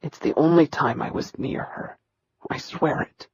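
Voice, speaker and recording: A woman voicing a terrified, beaten young male hybrid servant who speaks in a quivering, breathy hush. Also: on the high side, a little slow, no echo, muffled recording. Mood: pleading. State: in pain and panic.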